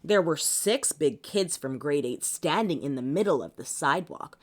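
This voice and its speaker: A woman reading a children's picture book aloud in an animated, theatrical, expressive way. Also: deep voice, moderate pace. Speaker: children's story reader.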